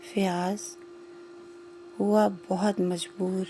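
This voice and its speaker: A woman narrating a scripted moral story in short, measured phrases with pauses. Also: mid-range voice, flat delivery, dark tone, slight background noise.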